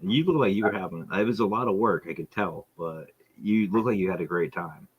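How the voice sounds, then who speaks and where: A man's voice: deep voice, brisk, muffled recording, a little hiss or hum. A man hosting a podcast in casual chat, telling stories with plenty of laughs and swearing. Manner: profane, laughing storyteller. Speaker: podcast host.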